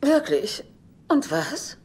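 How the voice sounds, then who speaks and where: A woman's voice: high voice, sing-song, drawn-out words, darkish tone, full character voice. An actress playing a caustic, self-centred older mother in a sitcom dub, dry, cutting and falsely sweet. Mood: cool, cutting. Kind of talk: German sitcom dub acting. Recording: clear background noise.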